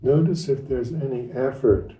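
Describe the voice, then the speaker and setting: A man leading a guided meditation as a teacher, speaking gently and without hurry in short phrases with long pauses. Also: mid-range voice. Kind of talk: guided meditation, unscripted and instructional. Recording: roomy echo, distant microphone.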